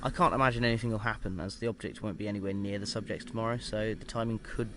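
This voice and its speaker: A man's voice: fairly low pitch, steady tone, quick pace, close to an everyday voice. A man playing a research doctor in an audio drama, dictating an experiment log in a clinical yet conversational, slightly hesitant way. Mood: casual, unworried. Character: male research doctor calmly logging a sinister experiment. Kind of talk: audio drama diary monologue. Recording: clear background noise and ambience.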